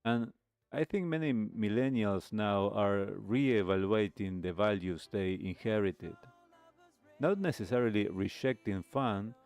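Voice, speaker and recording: A man with a fairly low voice hosts a reflective radio segment. He speaks very slowly and deliberately, leaving pauses between phrases. Faint music plays behind him. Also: darkish tone, clear, resonant tone. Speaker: radio show host. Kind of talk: reflective talk show monologue.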